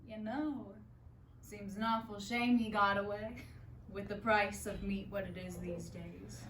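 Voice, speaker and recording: A woman's voice: mid-range voice, steady tone, a little slow, fairly bright tone, lightly acted. A woman playing a chatty, scheming pie-shop owner who coaxes in a sly, darkly cheerful way. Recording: echoey room, distant mic, faint noise.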